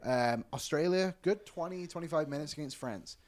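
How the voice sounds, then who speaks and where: A man hosting a sports podcast, chatting casually and reading out betting odds in a lively way. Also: a little high, normal speed. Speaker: podcast host.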